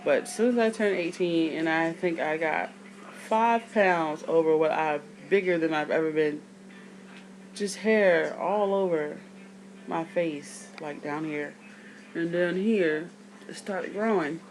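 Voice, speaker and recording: A woman in her thirties with a deep voice, just out of bed, talking to her camera in a chatty, rambling way with side notes. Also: slow, slight background noise, poor audio. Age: 30s. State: just woke up. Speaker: vlogger. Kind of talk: casual talk to camera.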